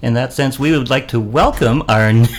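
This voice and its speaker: A man's voice: mid-range voice, moderate pace. A man, an actor guest-hosting a podcast, chatting casually and telling wry self-deprecating stories. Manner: tells wry anecdotes with self-deprecating humor. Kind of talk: casual chat.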